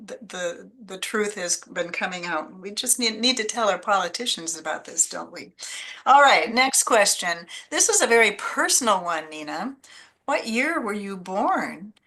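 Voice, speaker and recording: Brief: woman host, bright tone, friendly interviewer on a video call. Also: medium pitch. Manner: friendly, chatty, reads out questions. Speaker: forum host. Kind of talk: interviewer asking questions.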